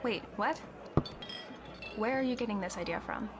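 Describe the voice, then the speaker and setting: A woman's voice: medium pitch, moderate pace, over music, natural voice. A woman playing a young tavern keeper and confidante who talks bluntly but reassuringly, in a conversational roleplay drama. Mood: exasperated, firm.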